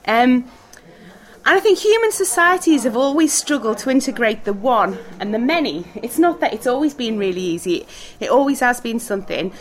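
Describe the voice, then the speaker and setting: Woman, chatty group teacher, fairly high voice, laughs often, asks questions. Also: lively intonation, moderate pace. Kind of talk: talk with group discussion. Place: hall with background noise.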